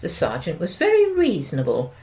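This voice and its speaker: A woman's voice: lowish voice, huge pitch swings, brisk, warm tone. An actress playing a curtain-twitching suburban wife in an audio drama, gossipy, anxious and easily shocked, with a British accent and a hesitant, insinuating way of talking. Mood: reflective. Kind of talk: audio drama dialogue, naturalistic.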